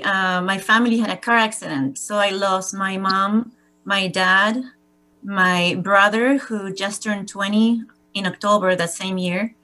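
A woman in her forties from Argentina, telling a personal story slowly and deliberately. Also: mid-range voice, fairly bright tone, rough recording. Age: 40s. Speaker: guest.

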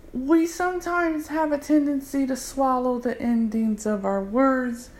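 A woman language tutor, giving a casual, unhurried explanation with frequent fillers. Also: a little high, a little mellow, poor audio. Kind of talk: casual instructional talk.

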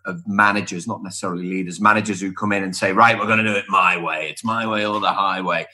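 A middle-aged man from Liverpool speaks in a fairly low, husky voice. He answers interview questions conversationally, stacking up phrases and repeating them for emphasis. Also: sharp tone. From Liverpool, England. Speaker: podcast guest, speaker and author on workplace culture.

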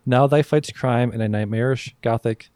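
A man's voice: mid-range voice, normal speed, darkish tone, clean tone, no room sound. A man hosts a casual quiz among friends in a mid-range voice. The sound is close and dry. He reads clues like mock-olde verse and rules on answers with teasing firmness. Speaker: quiz host on a podcast.

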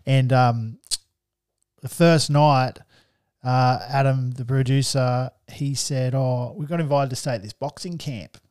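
Young Australian guy, podcast co-host, relaxed yarn-spinner, dead dry mic. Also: medium pitch, unhurried, clean tone, dry recording. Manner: laid-back storyteller, swears freely. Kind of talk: casual chat.

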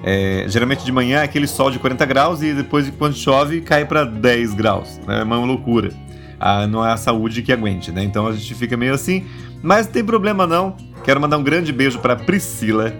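A Brazilian man talking into his podcast mic with a stuffy, nasal voice from a cold. He chats easily, tossing in little tag questions as he goes, with soft music playing faintly underneath. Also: medium pitch, expressive, brisk. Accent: Brazilian Portuguese. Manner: chatty, warm asides, many tag questions. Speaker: podcast host. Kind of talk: casual religious podcast chat.

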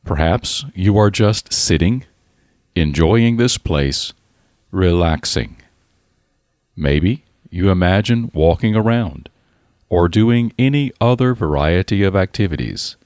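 A man narrating a scripted guided relaxation in a calm, soothing, deliberate manner with pauses. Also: sits low, no room sound, clean studio sound.

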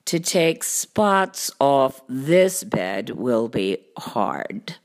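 Woman voicing a deep, drawling, cocky storybook cat. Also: very animated, extremely slow, darkish tone, exaggerated voice. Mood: pondering. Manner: drawling, chuckling, boastful. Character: big cocky laughing male cat, lazily confident trickster.

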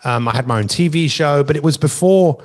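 A man's voice: on the high side, brisk. A middle-aged man speaking as a life coach and host, giving conversational, motivational talk with emphatic, rambling asides over an online call.

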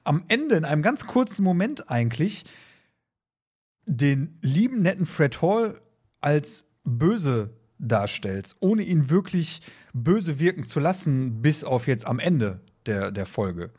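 A man with a slightly high voice talks as a podcast co-host in casual discussion. He rambles along, circling back over his words and voicing little quotes as he goes. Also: sing-song, takes it slowly, darkish tone, husky. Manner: rambling, repeats words, acts out short quotes. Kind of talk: casual discussion of an audio drama.

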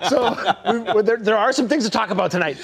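Male host, high voice with lively pitch swings, jokey, halting, casual moderation. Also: gravelly, husky. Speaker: podcast host. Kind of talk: casual panel chat, moderating.

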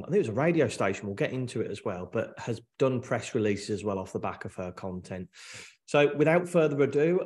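A man's voice: fairly low pitch, darkish tone. A man hosting a podcast, reading a short opening then chatting informally with his guest, often repeating himself. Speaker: podcast host. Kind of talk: scripted intro then casual interview chat. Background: faint background music.

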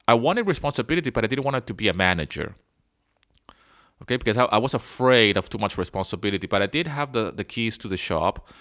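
A man's voice: lowish voice, a bit bright. A man in his forties from Venezuela, hosting a casual solo podcast. He tells his story in a rambling way and often checks in with the listener. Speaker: podcast host.

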